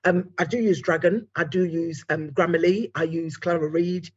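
A woman's voice: fairly low pitch, steady tone, normal speed, breathy edge, muffled recording. A woman hosting an interview, chatting casually and rambling with asides. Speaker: podcast host.

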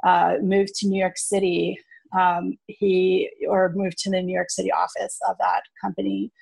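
A woman's voice: on the low side, level tone, moderate pace, gravelly, a little mellow, slightly rough, dry recording, low-quality recording. A woman in her thirties, originally from South Africa, chatting in an interview with plenty of ums and light laughs. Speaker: farmer and innkeeper. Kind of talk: casual interview storytelling.

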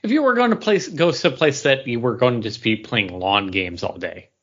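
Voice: mid-range voice, animated, fairly quick, a bit bright, muffled recording. A podcast co-host chatting casually and steering the conversation.

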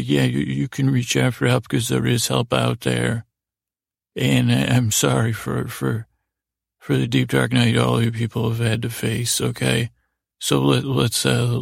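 A man hosting a bedtime podcast in a lulling, soothing, creaky voice, meandering and trailing off mid-thought. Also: mid-range voice, no echo, clean studio sound. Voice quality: very creaky, gravelly. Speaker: podcast host. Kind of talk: rambling bedtime-story podcast monologue.

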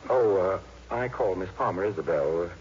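An actor playing an affable, self-assured young high school teacher with a genial manner in a 1950s radio drama. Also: male voice, animated, moderate pace, darkish tone. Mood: polite, affable. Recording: muffled, noisy 1950s radio recording.

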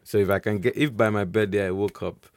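A man co-hosting a podcast, chatting casually in a relaxed, rambling way. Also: fairly low pitch, fast, clean tone, no echo. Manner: relaxed, rambling with restarts and laughs. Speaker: podcast co-host. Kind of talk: casual chat.

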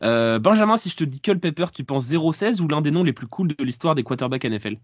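Podcast host, male, relaxed and teasing, dry close sound. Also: on the high side, fast talker, no room sound. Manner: relaxed, teasing, reads out listener questions. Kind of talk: casual podcast chat.

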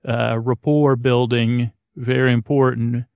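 A man with a creaky, gravelly voice and a very flat delivery, recorded close and dry. He hosts an unscripted bedtime podcast, meandering through half-finished thoughts, stammering and circling back as he loses his thread. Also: medium pitch, slow, no echo. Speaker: podcast host. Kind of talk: rambling, unscripted bedtime monologue.

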